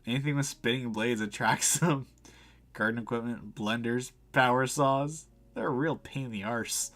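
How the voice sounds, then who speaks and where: A man's voice: mid-range voice, normal speed, fairly bright tone, natural voice. A man voicing a laid-back, wry and reassuring cafe barista in a visual novel. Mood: wry, matter-of-fact. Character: laid-back, dry, kindly cafe barista guiding the dead.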